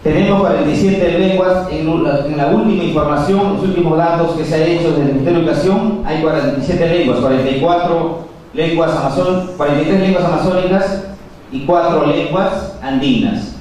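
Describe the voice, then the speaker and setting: A man's voice: fairly high pitch, darkish tone, a little hiss or hum. Man speaking in an echoey hall. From Peru. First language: Quechua. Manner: measured, many pauses and fillers. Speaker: conference speaker. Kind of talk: formal conference talk. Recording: echoey hall, far from mic.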